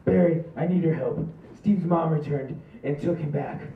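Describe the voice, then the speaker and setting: A man's voice: on the high side, moderate pace, narrow, tinny audio, natural voice. Man reading a cocky magician, distant and echoey. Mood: casual, needy. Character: cocky, self-deluded amateur magician and hapless father. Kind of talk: live table read of a comedy script. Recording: distant, echoey, phone-thin recording.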